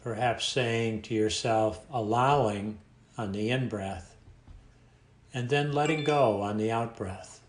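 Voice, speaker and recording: A man leading a guided meditation in a calm, unhurried manner, leaving long pauses between phrases. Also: fairly low pitch. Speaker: meditation teacher. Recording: echoey room sound.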